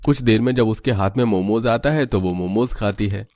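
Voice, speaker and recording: A man reading scripted narration for an animated family story. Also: fairly low pitch, fairly quick.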